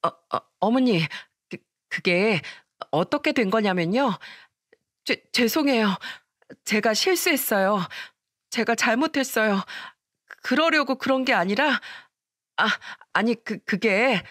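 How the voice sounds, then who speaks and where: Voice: medium pitch, animated, slow, fairly bright tone, no echo, studio quality, voice pushed up. A narrator voicing a panicked wife who stammers as she begs her mother-in-law for forgiveness. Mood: panicked pleading. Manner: stammering, pleading.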